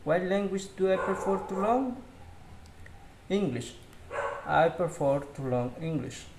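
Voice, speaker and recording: A man whose first language is Spanish, slowly reading English question-and-answer drills aloud as a learner, with small grammar slips. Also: high-pitched, animated, background noise. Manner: slow, careful practice reading with slips.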